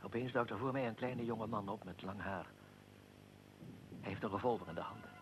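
An actor playing a weary, aristocratic Austrian archduke in a radio drama, speaking formally and with measured dignity in a gravelly voice. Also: male voice, on the low side, noisy background, lightly acted. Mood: anguished, fading. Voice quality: gravelly, creaky. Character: Austrian archduke, aristocratic, weary, recounting his own assassination.

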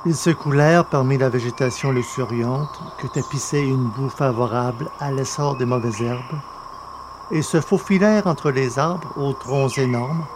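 A man reading as a podcast host and narrator, with measured, articulate, scripted delivery. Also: mid-range voice, noisy background. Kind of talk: scripted podcast presentation and audio novel narration.

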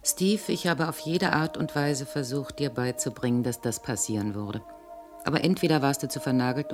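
A cool, disillusioned partner in a 1970s radio play. Her delivery is matter-of-fact with a cutting edge, set against clear background music. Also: rumbling low. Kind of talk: 1970s German radio drama acting.

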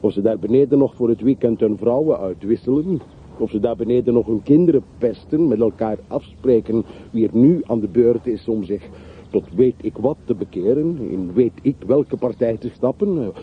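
A man's voice: mid-range voice, expressive, moderate pace, natural voice. An actor playing a bitter, sardonic man revisiting the town of his wealthy childhood in a radio play. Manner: sardonic, brooding, rhetorical. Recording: muffled, noisy old radio play recording.

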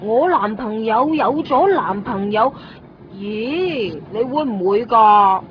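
High young female voice, Hong Kong Cantonese, chirpy casual banter, muffled, light street noise. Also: expressive, takes it slowly. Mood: curious, playful. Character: chirpy young woman chatting about going out. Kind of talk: casual radio drama banter. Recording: muffled, faint traffic noise behind. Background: street ambience with vehicles.

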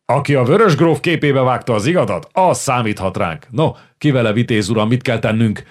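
A man voicing a gruff, hearty army lieutenant who talks bluntly. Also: medium pitch, pitched above the performer's own voice, close to an everyday voice. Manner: blunt and jovial.

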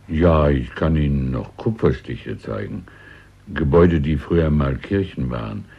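An actor in a radio play voicing a courteous, melancholy old shopkeeper, speaking gently and hesitantly in a husky voice. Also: male voice, extremely low pitch, exaggerated voice. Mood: confiding. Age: older. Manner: gentle, wistful, hesitant, confiding. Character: courteous, melancholy old antique shop keeper. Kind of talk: German radio play acting. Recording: dull, lo-fi old radio production.

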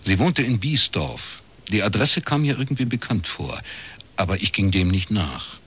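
An actor playing a reserved middle-aged detective in a radio crime drama, speaking in a dry, laconic way. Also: male voice, fairly low pitch, big pitch swings, normal speed, fairly bright tone, breathy edge, full character voice. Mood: dry, reflective. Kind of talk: first-person radio crime drama narration and dialogue.

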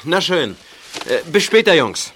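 An actor in a German radio play voicing a firm uncle who raises lions and knows how to soothe them. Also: male voice, a little high, sing-song, a little slow, very noisy. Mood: brisk. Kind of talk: German audio drama acting.

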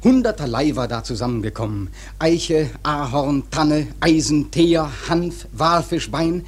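A sailor narrating a dramatic sea tale for radio. His husky voice sits fairly high and moves widely in pitch, with earnest, intense storytelling. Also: lively intonation, unhurried. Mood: solemn, stirred.

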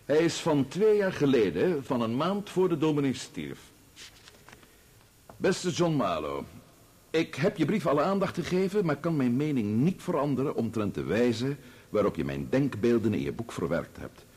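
A man playing a formal, probing barrister in a radio courtroom drama, with a deliberate, theatrical delivery. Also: medium pitch, very animated, darkish tone, breathy edge. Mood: solemn reading. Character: formal, probing male barrister examining a witness. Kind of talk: radio play courtroom acting.

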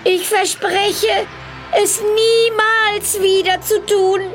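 A man voicing a desperate witch woman with a shrill, wailing storybook voice. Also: flat delivery, drawn-out words, fairly bright tone, faint music behind, exaggerated voice. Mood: pleading. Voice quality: shrill, squeaky. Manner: wailing, pleading.

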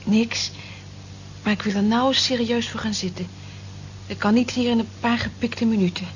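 A woman's voice: a little high, steady tone, normal speed, lightly acted. An actress playing a kind, long-suffering cleaning woman in a Dutch radio drama. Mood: reticent, serious. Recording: muffled, lightly noisy old radio recording.

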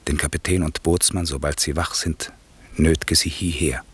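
An actor playing an aging exiled duke and sorcerer in a 1960s radio play, declaiming classical verse with weighty, measured authority and a husky voice. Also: male voice, a bass voice, fairly even intonation, slight background noise. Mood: tender.

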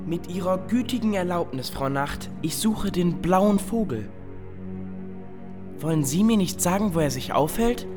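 A woman's voice: on the low side, expressive, a little slow, over music. A woman playing a polite, curious and persistent young child in a radio drama, with earnest, courteous, theatrical delivery. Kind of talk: German radio drama acting.

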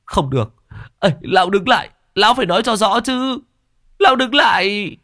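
A stubborn, panicky young villager, as the narrator puts him on, pitched high and shouting in whiny protest. Bright and dry close-up sound, with faint music underneath. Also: male voice, expressive, moderate pace, no room sound. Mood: panicked shouting.

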